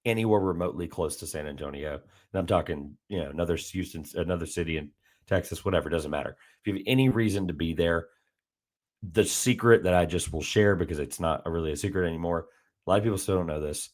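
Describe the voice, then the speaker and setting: A man hosting a casual sports podcast, his voice a little raspy from days of talking, rambling with many fillers and restarts. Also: sits low, quick pace. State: slightly raspy from heavy talking. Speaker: podcast host.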